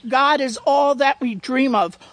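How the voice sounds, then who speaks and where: A woman voicing an ancient, wise storybook turtle in a measured, solemn, reassuring manner. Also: high-pitched, no room sound. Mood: solemn, tender. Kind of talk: storybook character reading.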